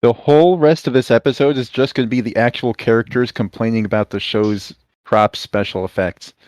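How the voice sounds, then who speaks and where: A man's voice: mid-range voice, steady tone, fairly quick, darkish tone, no room sound. A man on a group voice call tossing off playful, dry one-liners in casual chat.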